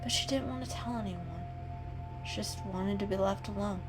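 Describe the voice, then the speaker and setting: A woman giving an expressive, dramatic reading of a children's animal story as a storyteller. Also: mid-range voice, normal speed. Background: background music.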